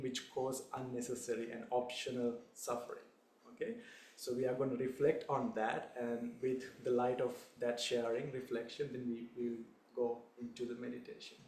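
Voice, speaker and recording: A young man from Sri Lanka, a Buddhist monk, leading a guided meditation in a calm, unhurried way with short phrases. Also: mid-range voice, roomy sound.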